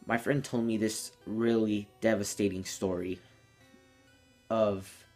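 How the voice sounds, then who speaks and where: A man's voice: fairly low pitch, darkish tone. A teenage young man telling a story casually to camera in a small room indoors, as a vlogger.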